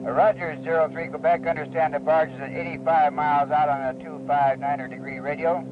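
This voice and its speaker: An actor playing a businesslike aviation radio operator in a 1960s training film, using clipped procedural phrasing over a radio. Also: male voice, fairly high pitch, flat delivery, a little fast, noisy background. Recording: narrow tinny radio link, music behind.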